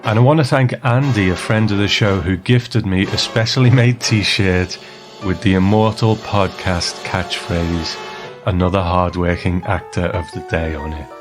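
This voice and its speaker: A man with a low voice narrates as a podcast host. His delivery is measured and appreciative, like prepared remarks, with music clearly playing underneath. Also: fairly even intonation.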